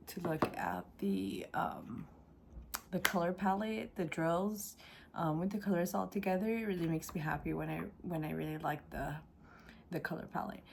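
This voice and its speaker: A woman hosting a casual craft unboxing vlog, her voice raspy and congested from a sore throat, speaking hesitantly with many ums. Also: fairly low pitch, darkish tone, slight background noise. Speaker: craft channel host.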